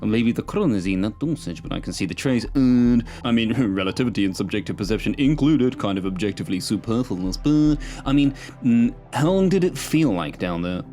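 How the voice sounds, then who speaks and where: A man's voice: fairly low pitch, animated, brisk, hardly any pauses, warm tone, soft background music, natural voice. A man doing a geeky, jaunty tech wizard. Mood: playful. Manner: playful, mock-grand phrasing, odd put-on accent. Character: geeky, jaunty male tech expert, theatrical.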